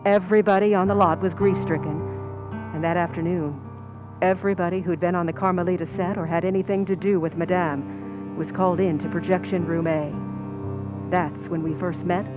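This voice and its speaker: A woman playing a scheming stand-in actress confessing to murder in an old-time radio suspense narration, with tense, deliberate delivery. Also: fairly low pitch, fairly even intonation, dull audio, natural voice. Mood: matter-of-fact. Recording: music under the voice.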